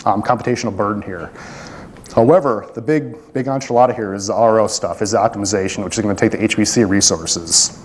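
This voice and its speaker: A man's voice: fairly low pitch, darkish tone. A man presenting a technical project at a conference and taking questions, talking casually with many fillers and self-deprecating asides. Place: conference room.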